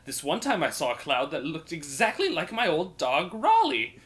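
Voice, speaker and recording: Man putting on a sing-song, high-pitched cheerful minstrel. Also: sing-song, moderate pace, fairly bright tone. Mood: chatty, breezy. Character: relentlessly cheerful, chatty wandering minstrel man.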